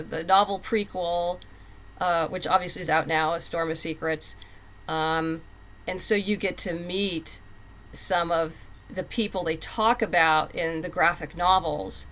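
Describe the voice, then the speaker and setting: A woman with a fairly low voice speaks as an interview guest. She talks in a conversational way, like a writer explaining her work, and slips into quoting herself and others as she tells it. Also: a bit bright. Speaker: comic book writer and guest.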